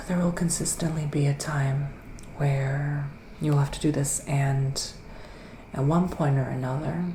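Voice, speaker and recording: A man speaking softly and often whispering in an intimate ASMR roleplay as a gentle psychic witch, with deliberate, soothing pauses. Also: fairly high pitch, fairly even intonation, echoey room, a little hiss or hum, poor audio. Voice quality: soft, breathy, often dropping to a whisper. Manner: slow, deliberate, pausing, soothing delivery. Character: gentle male witch giving a psychic reading. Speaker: ASMR performer playing a psychic tarot reader.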